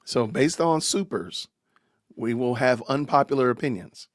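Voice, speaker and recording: A man's voice: medium pitch, measured pace, no echo, studio quality. A man hosting a casual live-stream podcast, ranting with rising emphasis. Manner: animated, preachy rants with rising emphasis. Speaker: podcast host.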